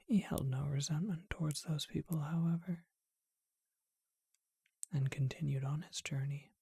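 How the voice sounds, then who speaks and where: A performer voicing a charming vampire who tells an old tale in a gentle, breathy ASMR whisper, unhurried and reflective. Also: medium pitch, fairly even intonation, no room sound, heavily acted. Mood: hushed storytelling.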